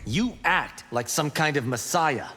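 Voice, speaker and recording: An actor playing a proud, defiant working-class fighter in a video game, challenging and earnest. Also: male voice, fairly high pitch, lively intonation, a little slow. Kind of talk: video game character acting. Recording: long reverb, faint background noise.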